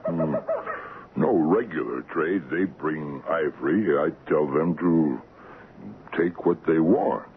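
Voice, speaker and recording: A shrewd, unrepentant trading post assistant in an old radio drama, with an extremely low voice. He speaks in terse, simple phrases with measured calm. The recording is muffled and noisy, like a vintage broadcast. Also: heavily acted. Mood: cool, unrepentant.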